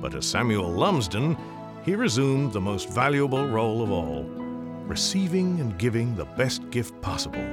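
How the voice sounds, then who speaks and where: A man narrating a Western radio story as a folksy, measured storyteller. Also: mid-range voice, sing-song, music in the background, lightly acted. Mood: warm, reflective. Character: storyteller narrator of a warm Western Christmas tale.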